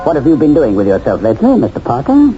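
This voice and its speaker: A suave, sinister fixer. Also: male voice, medium pitch, wildly swooping pitch, fast, over music. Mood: coolly menacing. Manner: smooth, coldly persuasive. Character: suave sinister man from a face-changing company. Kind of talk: 1970s radio drama acting. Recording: muffled old radio broadcast, faint hiss.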